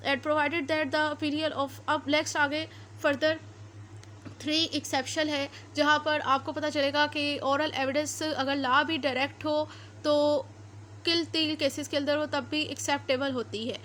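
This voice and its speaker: A woman's voice: high-pitched, little pitch movement, unhurried, fairly bright tone, a little hiss or hum. A woman with a Pakistani accent giving an explanatory law lecture, switching into read-out English and rephrasing points didactically.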